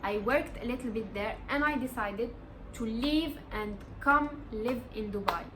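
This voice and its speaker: Moroccan-born woman in her 20s doing a chill advice vlog, talks real slow, kinda earnest. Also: on the high side, background noise. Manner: earnest, encouraging, emphatic repeats. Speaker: vlogger. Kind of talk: casual motivational vlog.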